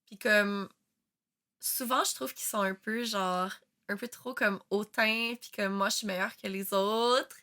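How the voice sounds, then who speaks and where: A woman's voice: medium pitch, fairly even intonation, measured pace, pushed and bright. A young woman who grew up speaking another language, with a Quebec French accent, co-hosting a podcast in chatty, laugh-filled talk. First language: not French. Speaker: podcast co-host. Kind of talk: casual chat.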